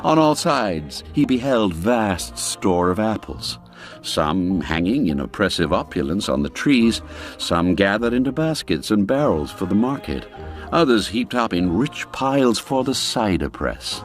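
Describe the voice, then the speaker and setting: A man narrating a classic literary tale theatrically, in a measured, florid manner that savors the words. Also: fairly low pitch, sing-song. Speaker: storyteller, audiobook narrator. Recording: music behind the voice.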